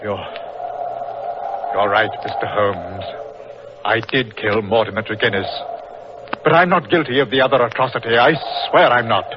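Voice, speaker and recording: A man's voice: fairly low pitch, moderate pace, long pauses, close to an everyday voice. A man playing a proud, grief-stricken explorer doctor, grave and impassioned, in 1940s radio drama style. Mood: anguished, bitter. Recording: muffled, noisy old-time radio recording.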